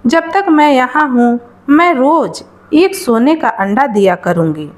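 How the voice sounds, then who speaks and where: A woman's voice: sits high, expressive, a little slow. A woman voicing a small magical female bird in a storybook tale, speaking in a formal, imploring, polite manner. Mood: earnest.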